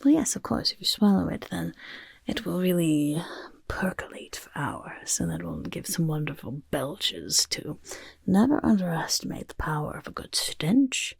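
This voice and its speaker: A woman voicing a chaotic, motherly goblin overlord who loves filth, in a soft-spoken ASMR roleplay, coaxing and fussing in breathy, creaky whispers with repeated words, close to the microphone. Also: lowish voice, sing-song, moderate pace, no room sound, full character voice. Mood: gleeful, coaxing. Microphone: close microphone, dry sound.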